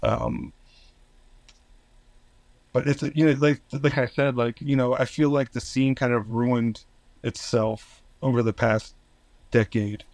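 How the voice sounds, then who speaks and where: A man's voice: medium pitch, animated, takes it slowly, long pauses, a little mellow, no echo. A man from New Jersey, a guest in a casual chat, who rambles with many restarts and filler words and swears now and then. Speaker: podcast guest, band founder. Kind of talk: casual conversation.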